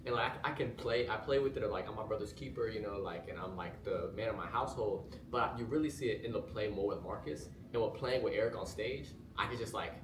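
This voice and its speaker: A man's voice: fairly low pitch, fast talker, few pauses. A young man, a student actor, talking eagerly and casually about his role, with "you know" fillers. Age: young adult. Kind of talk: casual chat about rehearsals. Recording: roomy, distant mic, noisy.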